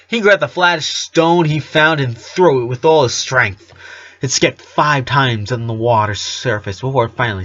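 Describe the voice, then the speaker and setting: A man's voice: medium pitch, fairly bright tone, lo-fi sound. A man narrating a story expressively, stumbling now and then and correcting himself. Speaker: amateur audiobook reader. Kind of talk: storybook narration with acted dialogue.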